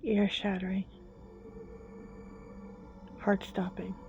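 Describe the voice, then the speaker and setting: A woman tells a suspenseful story at a very slow pace, breaking it into short, halting phrases. Her tone is dark and mellow with an even pitch. The recording is a little dull, with music behind her. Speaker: storyteller, host. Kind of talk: dramatic story narration.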